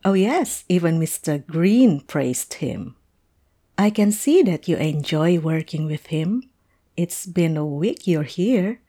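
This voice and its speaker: A woman playing a playful, chatty teacher telling a colleague a story in an animated, amused, conversational audio roleplay. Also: fairly low pitch, slow. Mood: friendly, encouraging. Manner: animated, amused, punctuated with chuckles.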